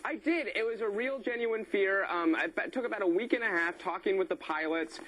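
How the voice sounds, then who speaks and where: A man's voice: high-pitched, a little fast, fairly bright tone, a little hiss or hum, rough recording. A man being interviewed as a comedian guest, upbeat and joking, on a radio aircheck. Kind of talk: live TV interview via remote feed.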